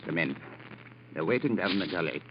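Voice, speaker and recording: An actor playing a bitter, vengeful, menacing schemer in old radio drama, with a theatrical, sardonic, deliberate delivery. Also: male voice, sits low, lively intonation. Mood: tense. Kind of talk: 1940s-50s radio drama acting. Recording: muffled, very noisy old radio recording.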